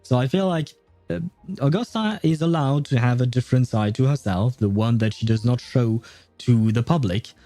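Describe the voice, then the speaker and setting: A man's voice: medium pitch, normal speed, dark tone, no room sound, faint music behind. A man giving casual livestream commentary on a game, chatty and musing, sometimes reading dialogue aloud. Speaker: game streamer.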